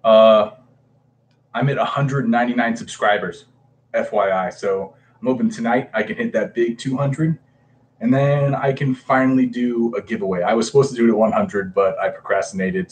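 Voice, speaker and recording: A man in his thirties with a fairly low voice, chatting loosely on a home livestream. He greets viewers by name, trails off and fills gaps with "uh". A faint background hiss sits under his voice. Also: steady tone, moderate pace. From Hawaii. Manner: chatty, reads and greets viewers, hesitant fillers. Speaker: comic book livestreamer. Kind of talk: casual livestream chat.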